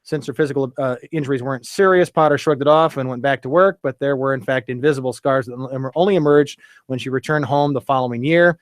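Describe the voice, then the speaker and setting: A man's voice: medium pitch, steady tone, fast talker, dry recording, studio quality. A man hosting a local radio show, chatting casually and reading a story aloud with many fillers and restarts.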